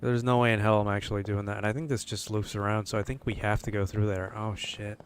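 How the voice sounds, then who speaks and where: A man's voice: on the low side, flat delivery, quick pace, a little hiss or hum. A man streaming a horror game, grumbling casually and swearing, wary of what lies ahead. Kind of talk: casual livestream commentary.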